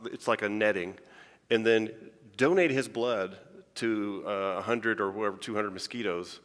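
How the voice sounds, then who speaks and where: A man's voice: on the low side, fairly bright tone. A male scientist giving a chatty, joking conference talk, often restarting his sentences. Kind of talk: informal conference lecture. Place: large church hall. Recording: long hall reverb.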